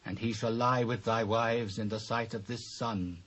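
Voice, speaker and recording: A British actor playing a stern, solemn prophet in a dramatised Bible reading, speaking in measured, declamatory phrases. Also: male voice, fairly low pitch, fairly even intonation, fairly bright tone. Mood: stern, accusing.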